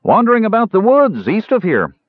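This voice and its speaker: A man voicing a rough, offhand woodland ranger who hunts goblins, in a fantasy audiobook. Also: high-pitched, huge pitch swings, a little fast, dry recording, narrow, tinny audio, voice pushed up, heavily acted.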